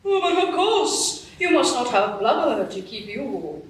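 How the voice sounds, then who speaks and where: A slow, formal and gracious sea creature voiced by a woman, pitched fairly high and forever courteous. She is heard from far off on an echoey stage with faint hiss. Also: big pitch swings. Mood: polite. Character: gentle, overly polite female narwhal. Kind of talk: live radio play acting.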